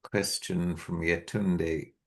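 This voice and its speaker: A man's voice: deep voice, level tone, takes it slowly, creaky. A man hosting an online book launch on a video call, speaking hesitantly with many ums and restarts. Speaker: book co-author and event host.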